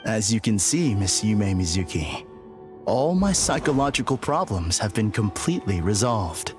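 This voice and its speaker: An actor playing an eerily calm, condescending villain in a video game, speaking in a formal, courteous manner. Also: male voice, on the low side, moderate pace. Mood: calmly condescending. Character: eerily calm, polite male villain, condescending and self-deluded. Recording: game music under the voice.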